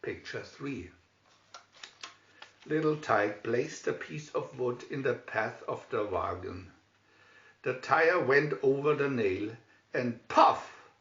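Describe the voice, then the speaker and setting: Guy narrating a kids picture story, slow and deliberate, kinda muffled recording. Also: medium pitch, expressive, gravelly, fairly bright tone. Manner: deliberate, announces each picture. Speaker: storybook reader. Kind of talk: scripted children's story narration. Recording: muffled, echoey room recording.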